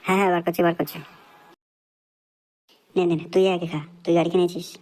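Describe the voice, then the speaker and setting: A man's voice: high-pitched, flat delivery, fast, darkish tone, lightly acted. A man voicing a dim, stubborn young male freeloader in a crude comedy cartoon, slangy, repetitive and argumentative. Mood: carefree.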